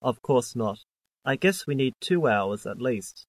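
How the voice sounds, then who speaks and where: A man's voice: medium pitch, expressive, dry recording. Man reading English test dialogues, dry sound. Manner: plain, measured textbook delivery. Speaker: dialogue reader on a listening test. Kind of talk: scripted reading of exam dialogues.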